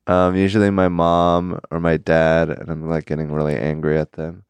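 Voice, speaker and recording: A young man talking in casual chat, deadpan and halting, with pauses and fillers. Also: low-pitched, smooth, no echo. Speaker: comedian guest.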